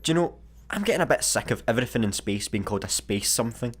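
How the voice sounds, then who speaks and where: A man's voice: mid-range voice, expressive, fast talker, close to an everyday voice. A Scottish man playing a casual, grumbling space traveller in a sci-fi comedy radio play.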